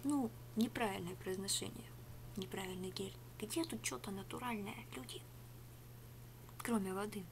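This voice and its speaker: A middle-aged woman whispers and murmurs in a sarcastic, rambling product review, her voice at an ordinary pitch. She is recorded close on a webcam in a small home room. A faint hum sits under her voice. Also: moderate pace. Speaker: ASMR vlogger. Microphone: webcam at arm's length.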